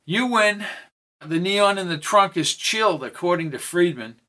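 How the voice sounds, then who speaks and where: A man's voice: high-pitched, animated, unhurried, bright, forceful tone, heavily acted. A man voicing an irritated middle-aged male government official who blusters and complains. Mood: blustering. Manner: blustering and exasperated.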